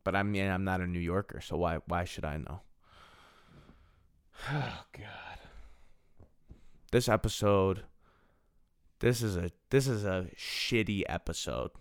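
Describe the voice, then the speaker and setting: A man's voice: sits low, a little slow, pauses often, clear, resonant tone, no room sound, studio quality. A man in his twenties hosting a solo podcast, rambling casually and poking fun at himself, often repeating and restarting his phrases. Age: 20s. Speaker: podcast host.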